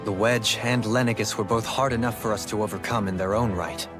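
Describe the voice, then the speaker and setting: Low, composed, erudite gentleman, played by a man. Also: fairly even intonation, brisk, over music, lightly acted. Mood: analytical, grave. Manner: formal, articulate, analytical. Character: composed, erudite gentlemanly male fantasy companion. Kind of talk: scripted video game cutscene acting.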